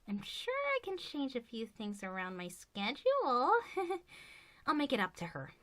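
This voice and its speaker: Woman playing a high, earnest cartoon pony princess. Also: wildly swooping pitch, measured pace, fairly bright tone, full character voice. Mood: remorseful. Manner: earnest, emphatic, pleading. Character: caring, firm young female pony princess, worried guardian. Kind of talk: animated cartoon drama acting. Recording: music behind the dialogue.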